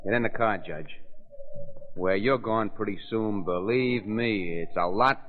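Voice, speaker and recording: A man's voice: on the low side, measured pace, lightly acted. An actor playing a tough, slangy henchman bullying on his boss's behalf, in 1930s radio acting. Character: tough male henchman, obedient to his boss. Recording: noisy, clicking, phone-like 1930s radio recording.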